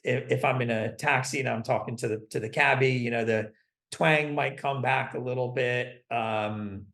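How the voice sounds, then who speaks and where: A man's voice: mid-range voice, fairly even intonation, normal speed, a bit bright, reverberant. A man who grew up in London but speaks with an American accent, giving conversational answers as a podcast guest. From London, England. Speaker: podcast guest, company CFO.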